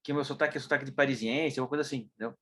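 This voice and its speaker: A Brazilian man with a southern Brazilian accent, a guest telling stories casually in an interview, lively and full of fillers. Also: medium pitch, racing, slightly rough. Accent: southern Brazilian Portuguese. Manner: animated storyteller, mimics people, many fillers like né. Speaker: interview guest, business consultant.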